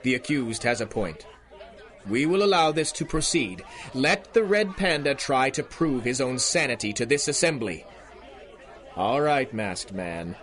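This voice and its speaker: An actor playing a stern, blustering judge in a 1930s-style radio drama, commanding and declamatory. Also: male voice, medium pitch, lively intonation, measured pace, a bit bright, a faint crowd. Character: stern, blustering male judge of a mock court. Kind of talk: 1930s radio serial acting.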